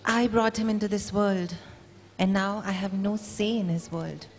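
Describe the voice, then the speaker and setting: A woman reads a story aloud to an event with dramatic delivery. Her voice sits at an average pitch, and the large room adds a long, echoing tail. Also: fairly even intonation, measured pace, darkish tone, slight background noise. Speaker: reader at a book event. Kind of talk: dramatic literary reading aloud. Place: large indoor event venue. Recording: echoey hall.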